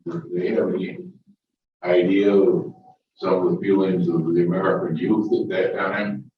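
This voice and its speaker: A man in the audience asking questions and adding comments in a measured way. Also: sits low, level tone, narrow, tinny audio, background noise. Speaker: audience member. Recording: very echoey room from a distance.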